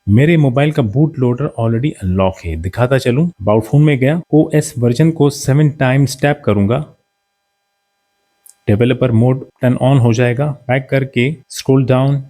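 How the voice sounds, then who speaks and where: A man's voice: mid-range voice, a little slow, dark tone. A man narrating a step-by-step how-to as a tech tutorial presenter, instructional, mixing English technical terms into his speech.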